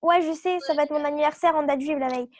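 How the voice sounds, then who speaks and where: A young woman speaks up on a group video call with a very high voice. She sounds playful and agreeable. The sound is close and dry. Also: fairly even intonation, fast talker, no echo.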